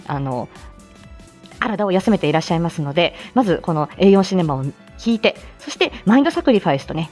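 A woman hosting a casual live morning audio show, chatting to listeners in a lively, expressive way with frequent laughter. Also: medium pitch. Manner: chatty, laughs often while talking. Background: background music.

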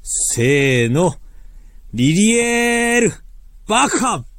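A man announcing a scripted event promo in an energetic, rallying way. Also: sits high, drawn-out words, no echo. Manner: energetic, rallying shouts to fans.

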